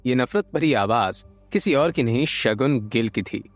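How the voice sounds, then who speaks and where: Expressive male drama narrator, lively pitch. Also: a little slow, dry recording. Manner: expressive, suspense-building. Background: light background music.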